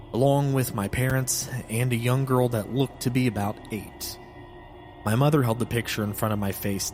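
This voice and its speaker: A man's voice: on the low side, a little mellow. A man reading a scripted first-person horror story as a solemn, literary narrator. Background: music.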